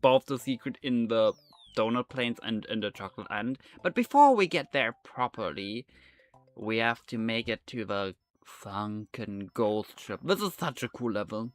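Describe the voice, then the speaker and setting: A man's voice: lowish voice, lively intonation, moderate pace. A young man whose first language is German, speaking English with a German accent while he streams and chats casually through a game, rambling with many fillers and restarts. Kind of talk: live gameplay commentary.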